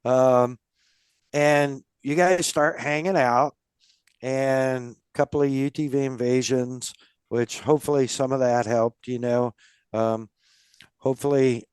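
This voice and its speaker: A man's voice: mid-range voice, a little mellow, smooth, dry recording, clean studio sound. A man hosting a casual podcast interview, rambling with lots of fillers and restarts. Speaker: podcast host.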